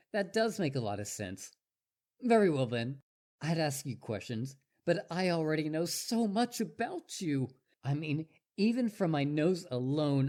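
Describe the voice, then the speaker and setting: Casting: obsessive young wolf boy suitor played by a performer for audio roleplay; very lively intonation, eager, stammering, over-devoted. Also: mid-range voice. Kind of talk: roleplay audio drama monologue to listener.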